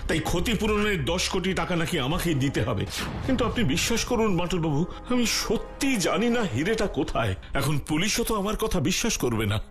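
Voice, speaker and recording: A man's voice: high-pitched, animated, fairly quick, soft background music. A man voicing an anxious, deferential bank manager in a children's cartoon, imploring and repeating his phrases. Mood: desperate pleading. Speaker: voice actor in a cartoon. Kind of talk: dubbed children's cartoon dialogue.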